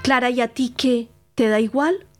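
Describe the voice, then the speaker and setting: An actress playing an anxious, exasperated granddaughter with a reproachful manner in an audio drama. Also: female voice, a little high, moderate pace, soft background music, lightly acted.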